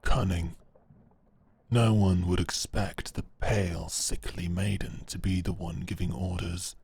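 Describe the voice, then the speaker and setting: Gravelly, stern knight played by a man. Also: low-pitched, steady tone, darkish tone, a little hiss or hum. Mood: shrewd, appraising. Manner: measured, laconic, knowing. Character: stern, shrewd male knight captor, calm and observant. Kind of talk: dramatic audio roleplay acting.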